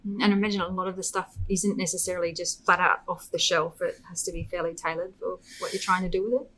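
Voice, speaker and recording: A woman's voice: on the low side, flat delivery, quick pace, a bit bright, low-quality recording. A woman with an Australian accent, from the Gold Coast, interviewing casually as a podcast host.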